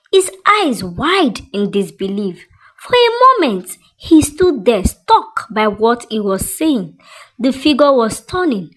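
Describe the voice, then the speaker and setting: Woman narrator for suspenseful story. Unhurried delivery, wide swings in pitch, expressive. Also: rough recording. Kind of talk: scripted dramatic story narration.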